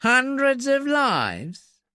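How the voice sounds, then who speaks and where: A man's voice: sits high, huge pitch swings, slow, pushed and bright, dry recording, studio quality, pitched above the performer's own voice, heavily acted. A man voicing a blustering, indignant military officer in an audiobook, with a pompous, sneering, emphatic manner.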